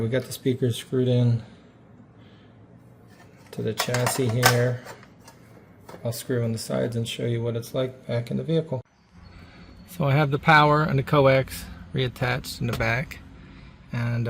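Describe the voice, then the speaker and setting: A man's voice: mid-range voice, flat delivery, a little slow, gravelly, long pauses, a little hiss or hum. A man hosting a DIY repair video, talking through the hands-on work in a casual, folksy, informal way.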